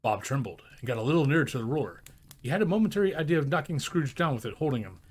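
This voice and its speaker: Very animated male storybook narrator. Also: medium pitch, rapid-fire, husky. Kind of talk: expressive storybook reading.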